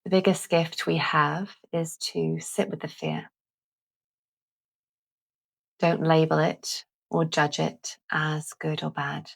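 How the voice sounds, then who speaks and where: Slow, low-pitched woman guiding meditation. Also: flat delivery, gravelly, husky. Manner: gentle, deliberate, with long pauses. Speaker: guided meditation host. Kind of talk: guided visualisation, calming meditation narration.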